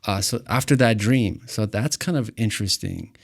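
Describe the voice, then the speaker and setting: A man from Ukraine, a native Russian speaker, hosts the talk with a lowish voice. He tells his story eagerly, rising and stumbling as he goes. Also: moderate pace. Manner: animated storyteller, restarts and stumbles. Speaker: host. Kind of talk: storytelling interview chat.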